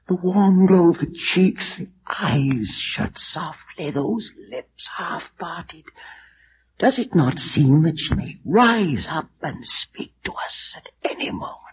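An actor playing a morbid, grief-maddened last heir of a doomed family in vintage radio horror, theatrical and volatile between hushed and feverish delivery. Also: male voice, on the high side, animated, slow pace. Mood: morbid wonder. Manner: theatrical, swinging from hushed whispers to feverish outbursts. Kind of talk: 1940s-50s radio horror drama acting. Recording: dull, noisy vintage radio recording.

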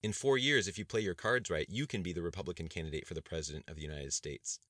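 A man reading a novel aloud, voicing a thirty-five-year-old male budget director who argues warmly and carefully. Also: sits low, fast talker, no room sound, clean studio sound. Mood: persuasive. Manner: warm and persuasive. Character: polite young male budget director, measured and persuasive.

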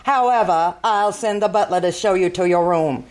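A woman's voice: on the low side, normal speed, darkish tone, lightly acted. A woman acting a haughty society bride-to-be with an arch, imperious manner, in 1940s radio comedy style. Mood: arch, probing. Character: haughty, frank society bride-to-be, quick to bristle.

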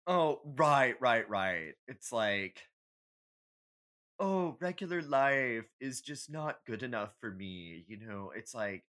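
A man's voice: medium pitch, animated, slow, fairly bright tone. A man co-hosting a podcast, chatting casually and comically in a sassy, opinionated way with plenty of swearing. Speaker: podcast co-host. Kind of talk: casual comedic astrology chat.

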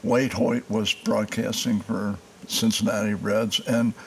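An older man hosting a podcast interview, asking questions in a halting way with many pauses. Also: on the low side, level tone, vocal fry, a little hiss or hum. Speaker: podcast host. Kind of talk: casual interview.

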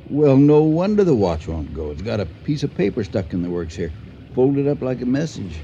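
An actor playing an older, dry-witted husband in a radio drama, speaking in a measured, deliberate way. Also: male voice, mid-range voice, big pitch swings, gravelly, dark tone. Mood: dry, pondering. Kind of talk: 1980 radio drama acting. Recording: muffled radio recording, faint noise.

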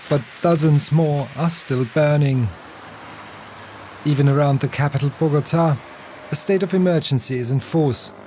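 A man's voice: on the high side, darkish tone, slight background noise. A man narrating a scripted news report as a reporter.

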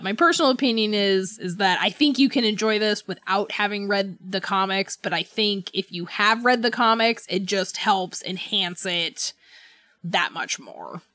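A woman co-hosting a podcast, chatting casually and enthusiastically like a fan, quick to giggle. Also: medium pitch, bright, forceful tone, dry recording. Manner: giggly, prone to laughing; informal, enthusiastic fan talk. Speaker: podcast co-host. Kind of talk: casual book club chat.

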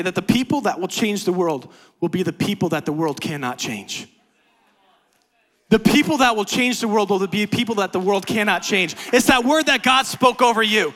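A man preaching a sermon as pastor, swinging from quiet, low talk to loud, high-pitched exhortation. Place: church sanctuary.

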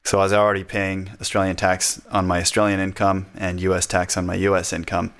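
Low-voiced man chatting casually. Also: monotone, brisk, fairly bright tone. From United States. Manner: chatty, laughs often, self-deprecating. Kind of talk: casual conversation.

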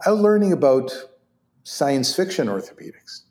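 A man's voice: fairly high pitch, normal speed, roomy sound. A man in his sixties whose first language is Hebrew, telling stories as an interview guest with lots of laughs and restarts. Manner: animated, laughs often, many restarts. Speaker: interview guest, orthopedic surgeon. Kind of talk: conversational storytelling.

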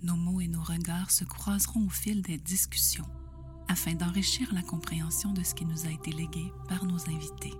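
A woman reads a scripted introduction in a slow, breathy, near-whispered way. Her voice sits a little low, and clear music plays underneath. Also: fairly even intonation. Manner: soft, slow, breathy delivery. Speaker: show narrator. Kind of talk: scripted narration. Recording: narration over music.

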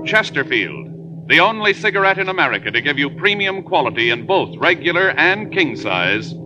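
A male radio announcer drawing out his words emphatically in a 1950s style. Loud music plays behind him, and the old recording sounds dull and lo-fi. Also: a little high, big pitch swings. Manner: drawn-out, emphatic delivery.

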